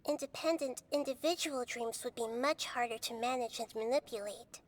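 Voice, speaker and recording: A woman voicing a gentle young goddess who speaks in a soft, measured, thoughtful way. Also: on the high side, a little hiss or hum, close to an everyday voice. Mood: explaining. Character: gentle young female god, calm and wise.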